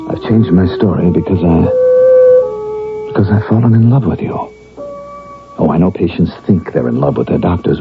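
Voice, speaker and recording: A man acting in a vintage radio drama as a weary, defiant accused killer and psychiatric patient, emotional and evasive. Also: low voice. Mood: anguished. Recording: muffled phone-like old radio recording.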